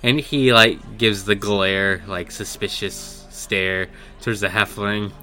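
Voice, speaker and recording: A man narrating a tabletop game as game master, improvising descriptions in halting phrases. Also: lowish voice, steady tone, pushed and bright.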